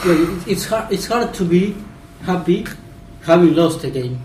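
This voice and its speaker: Halting man, far from the mic. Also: sits high, animated, darkish tone, echoey room, a little hiss or hum. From Argentina. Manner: halting, many fillers and restarts. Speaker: podcast guest. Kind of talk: casual football podcast chat.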